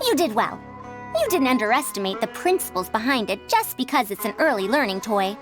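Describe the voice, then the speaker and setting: High-pitched, animated scholarly woman mentor played by an actress. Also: a little fast, music in the background, close to an everyday voice. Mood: instructive. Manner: instructive, precise, formal wording. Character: scholarly senior female teacher, self-assured, encouraging mentor. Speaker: game character, mentor. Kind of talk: scripted video game dialogue.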